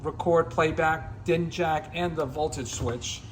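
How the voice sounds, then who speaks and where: A man giving a casual, unscripted hands-on demonstration as a gear hobbyist, in a halting, repetitive, rambling way. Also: on the high side, fairly even intonation, background noise.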